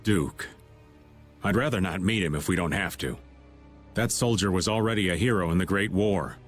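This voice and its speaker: An actor voicing a laid-back, wry middle-aged adventurer in a video game, drawling and folksy. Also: male voice, sits low, normal speed, soft background music, natural voice. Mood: uneasy. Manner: drawling, folksy, theatrically grumbling.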